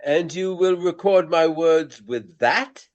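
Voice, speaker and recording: An actor playing a flamboyant, vain Regency English stage actor with pompous, formal old-fashioned speech in a radio play. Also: male voice, a little high, slow pace, highs cut off. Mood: curious, marveling.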